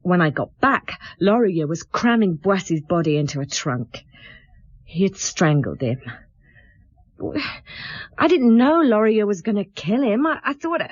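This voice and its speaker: An actress playing a scornful, bitter married woman in a radio drama, with a defiant, excitable manner. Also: mid-range voice, a little slow, no echo, lo-fi sound. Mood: bitter, defensive. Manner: contemptuous, defiant, excitable when challenged. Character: scornful, bitter married woman confessing under police questioning.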